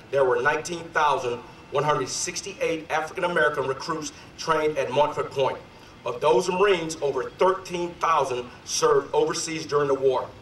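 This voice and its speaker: A man in his forties whose voice is a little hoarse speaks loosely and energetically, full of fillers, as he addresses an outdoor ceremony. His voice reaches the mic from a distance, with echo. Faint background noise sits underneath. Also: a little high, fairly bright tone. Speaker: sergeant major giving a history talk. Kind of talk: ceremonial speech.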